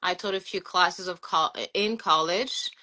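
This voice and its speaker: A woman in her forties with a French accent, chatting casually as an interview guest, relaxed and full of fillers. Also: lowish voice, a little slow. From France. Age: 40s. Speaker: guest, sneaker collector and teacher.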